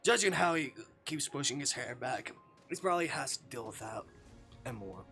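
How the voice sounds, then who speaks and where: A man with a bright, forward voice narrates a story game on his stream. He slips between reading and casual, salty commentary, close on the mic, with faint music underneath. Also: medium pitch, lively intonation, normal speed. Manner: casual, swearing in asides. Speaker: livestreamer. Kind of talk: reading a visual novel aloud on a livestream, with casual asides.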